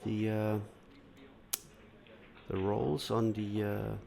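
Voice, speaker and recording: Low gravelly Danish-born pool commentator. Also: male voice, extremely slow, slight background noise. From Denmark. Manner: relaxed, explanatory, many "uh" fillers. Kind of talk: solo live commentary, answering chat.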